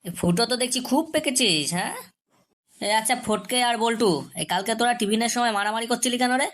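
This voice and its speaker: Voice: medium pitch, quick pace, fairly bright tone, lightly acted. An actor playing an irritable, scolding schoolteacher in a comedy cartoon, questioning and threatening the pupils in an exasperated way. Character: irritable, scolding school teacher, addressed as sir.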